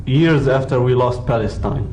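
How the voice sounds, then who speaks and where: A man's voice: mid-range voice, moderate pace, a little mellow, slight background noise, rough recording. Man answering firmly and directly. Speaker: interviewee.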